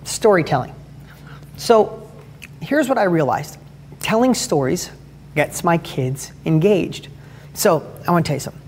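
A man with a strikingly high-pitched, light voice talks in an energetic, rambling way, often restarting his sentences. He tells stories with real enthusiasm while he gives motivational advice as a host. A faint hum of background noise sits under him. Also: unhurried, long pauses. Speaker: host and father giving advice. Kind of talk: motivational talk with storytelling.

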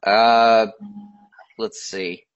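A man's voice: on the low side, steady tone, drawn-out words, clear, resonant tone, muffled recording. A man co-hosting a casual film chat, rambling with lots of fillers and restarts. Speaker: podcast co-host. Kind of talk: casual chat about films.

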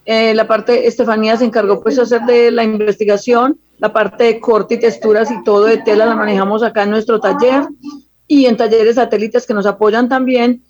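Halting Colombian woman, slightly muffled audio. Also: a little high, level tone, a little mellow. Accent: Colombian, from Antioquia. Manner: halting, repeats words while searching. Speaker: guest, costume designer. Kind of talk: unscripted interview talk. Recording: dull, muffled lo-fi line.

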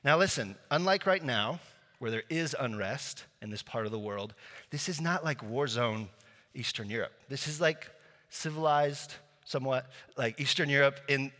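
A man's voice: mid-range voice, a bit bright. Man preaching in a big echoey hall. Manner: casual storytelling with many fillers and restarts. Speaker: pastor. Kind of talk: sermon with personal story. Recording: long hall reverb.

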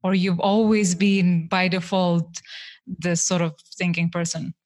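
A woman hosting a podcast, asking her guest questions in a warm, encouraging way. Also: fairly low pitch, level tone, measured pace, no room sound. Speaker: podcast host. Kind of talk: interview questions.

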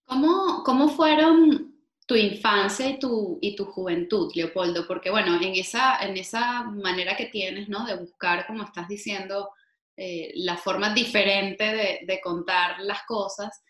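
A woman's voice: medium pitch, echoey room. A woman from Venezuela hosting a podcast interview, asking questions with frequent tag questions. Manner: measured, warm-toned questions with frequent "¿no?" tags. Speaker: podcast host.

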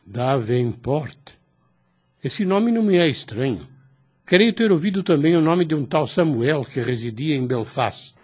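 Husky, anxious priest, swinging intonation. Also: male voice, fairly high pitch, expressive, measured pace, darkish tone. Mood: guarded unease. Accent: Brazilian. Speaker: radio drama actor. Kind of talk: Brazilian radio soap acting.

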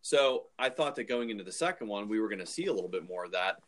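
A man's voice: lowish voice, lively intonation, quick pace, fairly bright tone. A man co-hosting a podcast, talking about films casually with exasperated, informal phrasing full of "like" and "dude". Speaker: podcast co-host. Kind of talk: casual opinionated film discussion.

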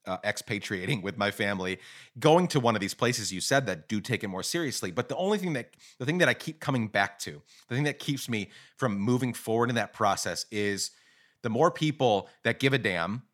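Male podcast host, 30s, casual, animated, lots of fillers. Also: lowish voice, a little fast. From grew up in Guatemala. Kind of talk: podcast interview.

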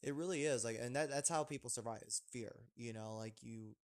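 A man's voice: mid-range voice, a little fast. A young man hosting a casual podcast chat, rambling with lots of fillers and restarts. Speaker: podcast host.

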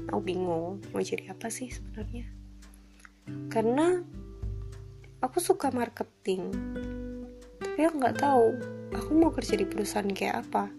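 A young woman with a light Javanese accent talks through her solo podcast, chatty and self-deprecating, slipping English phrases in here and there. Her voice sits at an ordinary pitch and her intonation stays a little flat. Clear music plays underneath her voice. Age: 20s. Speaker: podcast host. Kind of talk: casual solo podcast monologue.

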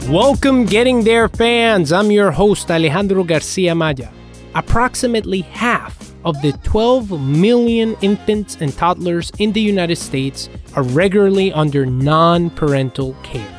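A man hosting an interview podcast, reading his intro and statistics in a measured, scripted way. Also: high-pitched, expressive, soft background music. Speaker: podcast host.

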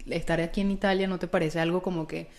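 A woman's voice: lowish voice, fairly even intonation, fast talker, a little mellow. A Venezuelan woman with a Venezuelan Spanish accent, chatting casually and playfully as a podcast co-host, with lots of informal slang. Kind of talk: casual, gossipy podcast chat.

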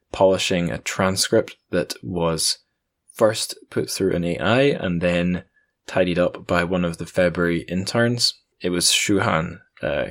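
A man hosting a podcast in a casual, chatty way with wry asides. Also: low voice. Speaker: podcast host.

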